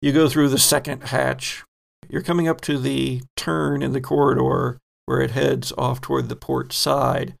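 Man, game master, gravelly, slow, descriptive narration with fillers. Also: medium pitch, level tone. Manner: unhurried, descriptive scene-setting with fillers.

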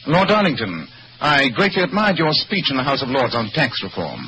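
An actor playing a courteous, probing gentleman detective in an old radio mystery. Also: medium pitch, very animated, brisk, noisy background.

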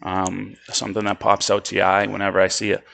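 A man with a Boston accent co-hosting a casual cigar podcast, chatty and relaxed as he explains details. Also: on the low side, fast, gravelly. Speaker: podcast co-host. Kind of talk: casual chat.

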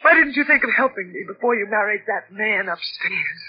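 A woman's voice: medium pitch, expressive, a little fast, husky. A woman playing a bitter, haunted daughter near breaking point, intense and melodramatic in the style of 1940s radio drama. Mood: bitter, accusing. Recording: dull old radio recording, faint music.

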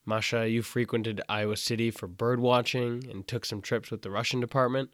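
Brief: young male interviewer, relaxed narration, dry recording. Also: medium pitch, normal speed, no echo. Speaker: student radio host.

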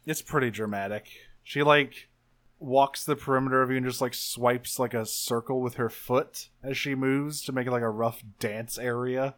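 A man plays a tabletop role-playing game, narrating his character's actions in a casual, improvised way. His pitch sits in the middle of the male range. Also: fairly even intonation, moderate pace, fairly bright tone. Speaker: tabletop role-play player.

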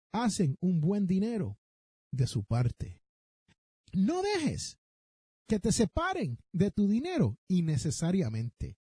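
A Puerto Rican man presents a podcast monologue in a high voice that swings widely up and down. The tone is husky and soft-edged. He speaks emphatically, addressing listeners directly and dropping in English terms. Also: no room sound, studio quality. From Puerto Rico. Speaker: personal finance podcast host. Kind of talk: podcast monologue giving money advice.

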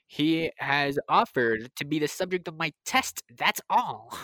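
A woman playing a kindly, absorbed scientist in an old-time radio drama, speaking in a halting, soothing way. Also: sits low, expressive, a bit bright, dry recording. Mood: reassuring, proud.

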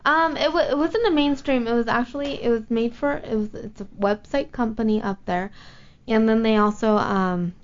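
A woman's voice: on the high side, steady tone, moderate pace. A young adult woman in a casual chat as a guest, blunt and giggly, swearing freely. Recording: muffled lo-fi recording.

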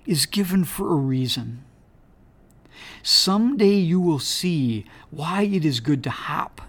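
A woman voicing a great, wise silver wolf who speaks gently, slowly and deliberately. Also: low voice, slightly rough, exaggerated voice. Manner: gentle, slow and deliberate, hushed.

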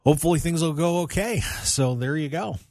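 A man's voice: a little high, fairly quick, a little mellow, no echo, studio quality. A man hosting a sports talk radio show in a casual, conversational way, addressing his listeners directly.

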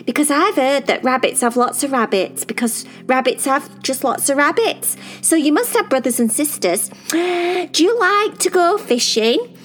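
A woman voicing an excitable, chatty young girl who gushes and fires off question after question. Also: high voice, pitched above the performer's own voice. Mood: gushing. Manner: gushing, rapid-fire questions, squealing.

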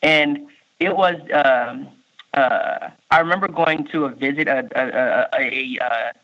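A man in his thirties, born in Haiti and raised in Miami, with a Miami accent, answering interview questions in a halting way, full of fillers and restarts. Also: medium pitch. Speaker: state workforce official, guest. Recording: muffled lo-fi recording.

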